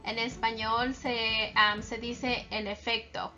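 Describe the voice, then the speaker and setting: A woman's voice: a little high, flat delivery, a little slow, bright, forceful tone, highs cut off, faint music behind. A woman teacher reading aloud and instructing young children, addressing them directly and stressing key words. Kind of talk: slow instructional read-aloud with call-and-response prompts.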